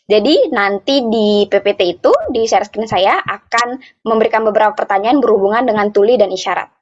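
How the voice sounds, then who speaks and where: A woman interpreting live for an interactive online workshop, speaking phrase by phrase in a halting way with repeats. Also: mid-range voice, darkish tone. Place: online video meeting. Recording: dull, muffled online call audio.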